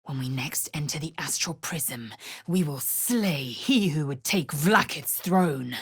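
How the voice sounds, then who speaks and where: Game role: fierce alien warrior woman, very low and raspy, scornful, zealous, formal diction with big swings in pitch. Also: animated, takes it slowly, no echo, clean studio sound, full character voice. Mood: resolute, zealous. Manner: imperious, clipped, formal, scornful. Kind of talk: video game character acting.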